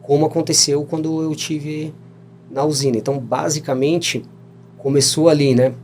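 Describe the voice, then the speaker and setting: A man's voice: a little high, fairly even intonation, normal speed, a little mellow, faint music behind. Brazilian man in his thirties, talkative. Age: 30s. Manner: rambling, frequent "né?" tags, laughs while telling stories. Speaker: podcast guest. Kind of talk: casual storytelling interview.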